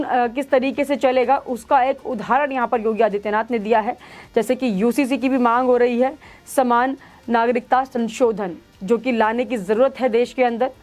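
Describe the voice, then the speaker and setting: A woman news presenter giving emphatic, opinionated commentary as she explains a story to viewers. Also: sits high. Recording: music under the voice. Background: background music.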